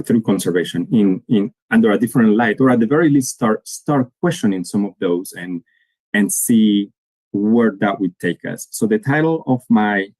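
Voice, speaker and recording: Earnest man with a low voice. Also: no room sound, low-quality recording. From Colombia. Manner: earnest, repeats and restarts words often. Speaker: guest speaker. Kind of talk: conference presentation to students.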